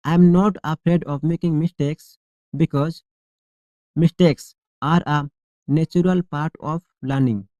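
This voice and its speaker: A male English teacher leading a shadowing drill, reading each model sentence slowly and deliberately, then repeating it clearly. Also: a little high, fairly even intonation, warm tone, no echo, dull audio.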